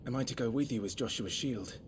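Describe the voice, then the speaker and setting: An actor playing an earnest young knight in a fantasy game, formal, restrained and deferential. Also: male voice, mid-range voice, quick pace, noisy background, natural voice. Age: young adult. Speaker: video game character actor. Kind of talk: dramatic fantasy game dialogue.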